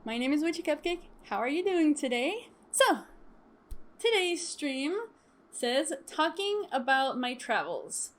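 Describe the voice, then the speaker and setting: A young woman from Chile chatting casually to her stream viewers, lively and laughing often, with many fillers. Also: high voice, unhurried. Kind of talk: casual storytime chat.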